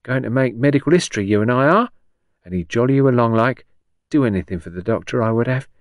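A man's voice: medium pitch, lively intonation, quick pace, dry recording, studio quality, pitched above the performer's own voice, close to an everyday voice. A man voicing a shrewd, blunt old working-class woman patient in an audiobook, chatty and colloquial with folksy endearments. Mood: chatty, reminiscing. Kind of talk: audiobook character dialogue.

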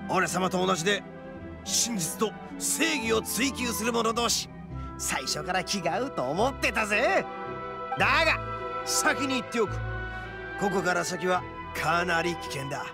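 An actor playing a brash, proud young male oni gang boss in a video game, with rough, cocky, emphatic speech. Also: high voice, sing-song, measured pace, over music, full character voice. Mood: confident, spirited. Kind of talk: dramatic video game voice acting.

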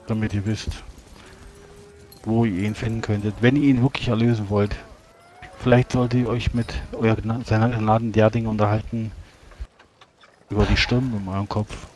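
A man playing a solemn, measured priest in a tabletop game, speaking formally and archaically as he counsels a comrade. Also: lowish voice, flat delivery, darkish tone, lightly acted. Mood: calm, reproving. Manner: formal archaic speech, preachy, deliberate. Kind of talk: tabletop roleplay in character.

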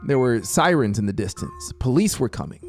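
A man's voice: mid-range voice, big pitch swings, brisk, a little mellow. A man in his thirties narrating fiction in a theatrical, suspenseful manner, close to a studio microphone with music behind him. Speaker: audiobook narrator. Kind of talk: dramatic scripted narration over a soundscape. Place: small home music studio. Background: music soundscape.

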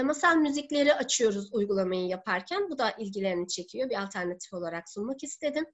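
A woman in her thirties, a teacher presenting in an online seminar from home, explaining step by step in a halting way. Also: high-pitched, fairly bright tone, dull audio. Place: living room at home. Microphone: laptop or webcam video call.

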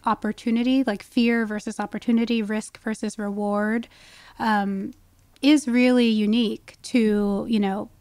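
A woman with a fairly high voice talks as an ecologist guest on a podcast. She explains in a conversational way, peppered with asides, and the sound is dry and close. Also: darkish tone, dry recording. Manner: explanatory, with frequent "you know" asides.

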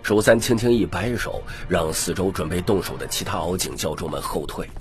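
A man's voice: low voice, a little fast, soft background music. A man reading dramatic scripted narration as an audiobook narrator.

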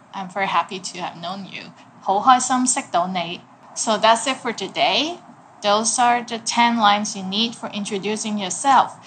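A cheerful woman gives a casual phrase lesson from a small room at home, her voice mid-pitched and sitting at desk distance from the camera. She switches easily between English and Cantonese and laughs often. A faint hiss of room noise sits under her. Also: fairly bright tone. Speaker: language teacher.

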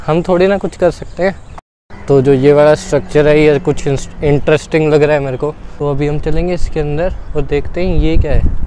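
Young Indian man vlogging, higher-pitched voice, noisy outdoors. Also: a little mellow. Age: 20s. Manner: colloquial, informal, addressing viewers as brother. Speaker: travel vlogger. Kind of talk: casual solo vlog chat while walking. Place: outdoors at an open fort ruin. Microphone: clip-on lapel mic. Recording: clear outdoor background noise.

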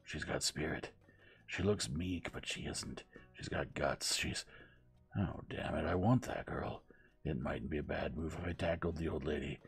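A man's voice: extremely low pitch, measured pace, vocal fry, husky, soft background music, full character voice. A man playing an impertinent, outspoken young man in an audiobook, blunt and argumentative. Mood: frank, heated.

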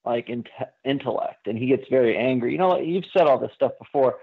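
A man calling in to a radio show, chatting informally in a halting, rambling way over a phone line. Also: medium pitch, creaky, breathy edge. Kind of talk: casual chat. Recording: tinny narrow phone line.

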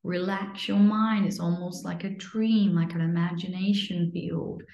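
Mellow, muffled woman guiding a meditation. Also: lowish voice, fairly even intonation, unhurried, vocal fry. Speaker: guided meditation teacher. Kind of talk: guided meditation, breathing instruction. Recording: muffled, lo-fi, roomy echo.

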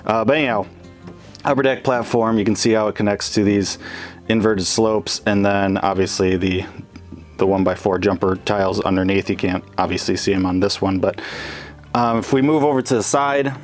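A man's voice: fairly low pitch, steady tone, moderate pace, soft background music. A young man casually talking through an update on the stadium he is building, rambling with plenty of fillers and small chuckles. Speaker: LEGO builder showing his model stadium. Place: indoor room with the model, wood-paneled walls.

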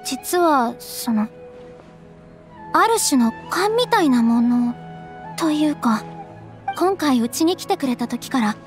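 A woman voicing a gentle, caring young noblewoman who speaks softly and politely in a game cutscene. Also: high voice, expressive, takes it slowly, long pauses, faint music behind. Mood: earnest. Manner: soft, polite, refined. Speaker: game character.

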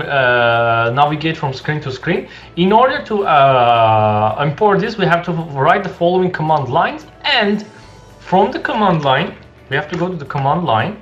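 A young man walking through a coding project for a screen-share tutorial, halting and restarting often as he explains, recorded indoors at his desk. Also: on the high side, fairly bright tone. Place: small room indoors. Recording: dull lo-fi sound with faint music.

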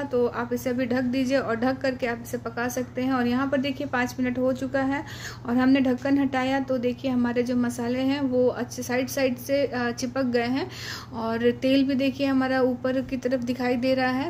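A high, fairly even-toned woman talks patiently through each cooking step, often pausing with a soft "uh" as she goes. Her home voice-over carries a faint hiss of background noise underneath. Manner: patient, explanatory, frequent "uh" pauses. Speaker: cooking channel host.

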